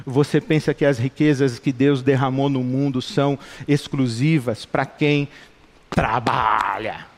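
A man's voice: medium pitch, fairly even intonation, unhurried. A middle-aged Brazilian man preaching a sermon with passion, building points through rhetorical repetition. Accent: Brazilian Portuguese. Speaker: pastor. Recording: long hall reverb.

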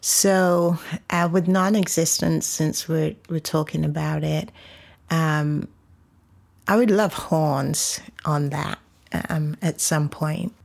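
Singer guest, woman from England, unhurried, laughs easily, deep and gravelly. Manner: relaxed, laughs easily, trails off. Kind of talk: casual interview chat.

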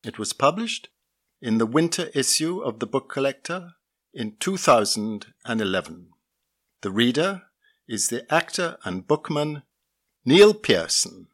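A man's voice: medium pitch, sing-song, measured pace, fairly bright tone. A man presenting a scripted podcast introduction.

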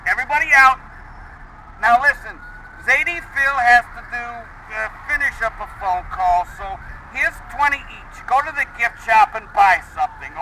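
An actor playing a blustery, loyal older grandfather and fixer, brisk, emphatic and wry, in an audio drama. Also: very high-pitched, noisy background. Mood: hurried, bossy. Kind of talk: naturalistic audio drama acting.

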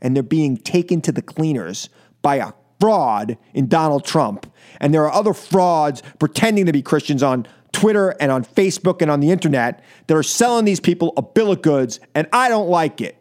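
Male American radio host, voice a bit above average pitch. Fired-up, exasperated political monologues with punchy repeats. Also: expressive, normal speed, a little mellow. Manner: emphatic, exasperated, repeats phrases for stress. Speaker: talk radio show host. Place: radio studio.

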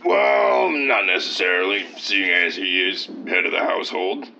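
A man's voice: low-pitched, moderate pace, creaky, sharp tone, exaggerated voice. An actor playing a slick but hemming and hawing casting man in an old-time radio comedy. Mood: awkward. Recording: noisy, dull old radio sound.

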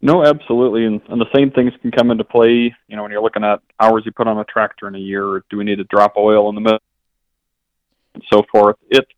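A man's voice: on the low side, no room sound. A man from an equipment dealership, explaining things as a radio guest and often restarting his sentences. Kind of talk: interview answers. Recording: dull, lo-fi line.

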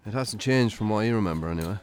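An actor playing a weary, defensive middle-aged Irish farmer who drinks too much, curt and evasive, in naturalistic radio drama. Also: male voice, on the low side, expressive, very fast, close to an everyday voice.

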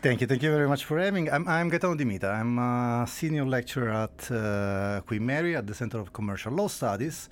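A man's voice: medium pitch, animated, brisk, hardly any pauses. A middle-aged man from Italy with an Italian accent, a guest on an interview, talking casually with many fillers and laughs. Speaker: guest, law lecturer. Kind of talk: conversational interview.

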